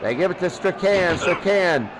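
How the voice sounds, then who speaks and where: A man doing excitable play-by-play commentary of a football game, repeating phrases and drawing out his calls. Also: sits high, moderate pace, crowd noise, noisy background. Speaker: sports commentator.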